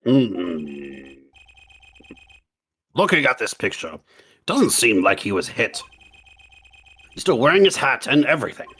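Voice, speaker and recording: Man playing a raspy, pompous old judge. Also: medium pitch, sing-song, unhurried, pauses often, fairly bright tone, full character voice. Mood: pondering. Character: slow-witted, solemn elderly male courtroom judge. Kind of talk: live fan dub of a courtroom game.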